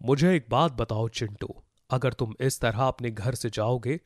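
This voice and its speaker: A man voicing a gentle, worried magical blue eggplant in a children's story, speaking earnestly. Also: mid-range voice, unhurried, dry recording, clean studio sound, natural voice. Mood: concerned. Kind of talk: Hindi children's moral story voice acting.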